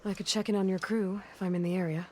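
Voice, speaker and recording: A woman's voice: medium pitch, fairly even intonation, quick pace, a little mellow, a little hiss or hum, lightly acted. An actress playing a blunt young female hunter hero who speaks tersely and skeptically in a video game.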